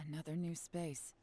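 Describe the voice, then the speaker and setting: An actress playing a cool, composed, observant woman investigator in a video game, musing in a measured way. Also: low-pitched.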